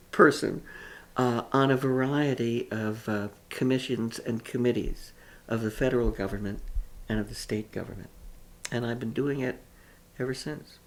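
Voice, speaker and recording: A woman in her seventies from New York, a children's advocate, speaking in a documentary interview with reflective, halting phrasing. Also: rumbling low, darkish tone. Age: 70s.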